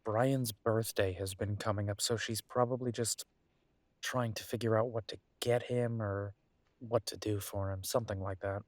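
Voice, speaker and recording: A man acting an affectionate, world-weary werewolf detective in an intimate audio drama, soft and coaxing with thoughtful pauses. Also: fairly low pitch, fairly even intonation, dry recording, natural voice. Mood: hesitant, resigned.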